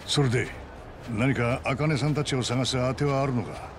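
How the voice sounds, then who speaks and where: Guy playing gruff middle-aged cop type in a game, blunt, doubtful, street noise behind. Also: medium pitch, fairly even intonation, normal speed, lightly acted. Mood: skeptical. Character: burly, skeptical middle-aged male detective type. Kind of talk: Japanese game voice acting.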